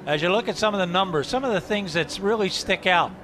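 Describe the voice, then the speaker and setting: Commentator guy going over the stats, higher voice, noisy booth. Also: quick pace, sharp tone. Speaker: color commentator.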